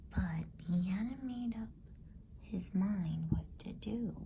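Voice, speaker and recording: A woman reading a bedtime story in ASMR style, in a soft, breathy, soothing whisper. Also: mid-range voice, a little hiss or hum. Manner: slow, soothing, hushed.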